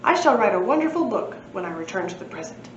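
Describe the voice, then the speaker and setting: A woman's voice: medium pitch, very animated, fast talker, natural voice. A woman reading a storybook, voicing a pompous, earnest male dinosaur professor with a formal, wordy, matter-of-fact manner. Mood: proud, earnest. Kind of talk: storybook read-aloud. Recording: echoey room, muffled, faint noise.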